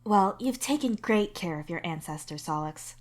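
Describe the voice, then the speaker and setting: Kindly alien doctor played by a woman, measured, professional, gently cautioning audio-story character. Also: mid-range voice, animated, close to an everyday voice. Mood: warm, reassuring.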